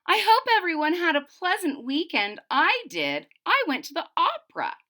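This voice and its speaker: Woman reading as a gentle, lively-pitched schoolteacher. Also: moderate pace, exaggerated voice. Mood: cheerful. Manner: gentle, encouraging. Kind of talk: children's picture book read-aloud.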